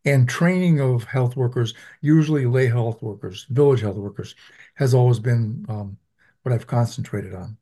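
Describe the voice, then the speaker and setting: Mellow, measured professor from Venezuela. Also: male voice, medium pitch. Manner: measured, explanatory, with frequent ums. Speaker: public health professor, guest. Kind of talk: interview answers.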